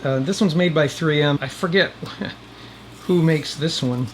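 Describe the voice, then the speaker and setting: A man's voice: fairly high pitch, unhurried, soft background music. A man hosting a DIY video in a casual, folksy way, with wry asides to the viewer. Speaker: host of a DIY video channel. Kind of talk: casual chatty DIY narration.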